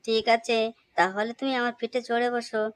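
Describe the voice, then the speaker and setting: মহিলার কণ্ঠে দয়ালু মায়াবী তোতা পাখি, একটানা সুর. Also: female voice, a little high, flat delivery, moderate pace, no echo, lightly acted. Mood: persuading, grateful. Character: magical talking female parrot, kind, generous mother bird. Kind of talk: cartoon story dubbing.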